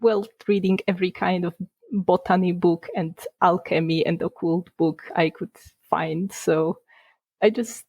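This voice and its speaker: A woman's voice: on the low side, steady tone, a little slow, a little mellow, husky, no room sound, highs cut off. Chatty Polish-born woman, dry sound. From Poland. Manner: chatty, laughs between points, restarts words. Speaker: guest, game designer. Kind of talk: casual podcast interview.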